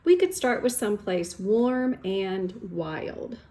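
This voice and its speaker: A woman's voice: medium pitch, expressive, slow, mellow, slight background noise. A woman voicing an eager, adventurous male storybook character, reading his letters to a friend expressively.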